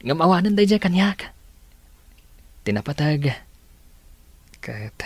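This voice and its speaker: A man playing an earnest young man in love in a radio drama, with a tender, slightly husky voice. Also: on the high side, big pitch swings, slow, no echo, heavily acted.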